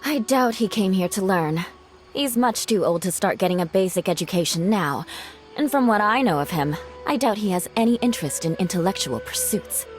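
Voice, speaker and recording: Woman as a proud, expressive noblewoman knight. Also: medium pitch, moderate pace, faint music behind, lightly acted. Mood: serious. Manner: formal, haughty, clipped. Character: proud, cool, dutiful noblewoman knight, guarded. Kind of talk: video game cutscene acting.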